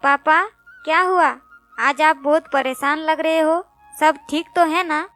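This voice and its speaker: A woman's voice: high voice, steady tone, unhurried, fairly bright tone, soft background music. A woman voicing an innocent little schoolgirl in a storybook tale, with plaintive, childlike questioning. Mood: worried, apologetic. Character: little schoolgirl daughter, sweet, worried, innocent.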